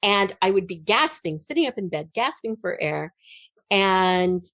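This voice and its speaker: A middle-aged woman telling personal stories as an interview guest, animated and acting out the remarks she quotes. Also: medium pitch, measured pace, dry recording. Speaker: guest, writer.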